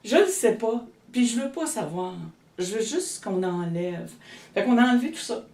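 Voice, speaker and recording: A woman's voice: on the high side, animated, moderate pace, a little mellow, distant mic. A woman with a Québécois French accent speaks as a healer in a casual interview. She rambles and restarts her sentences. The room is echoey and she sits back from the mic. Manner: rambling, many restarts and fillers. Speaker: energy healer guest.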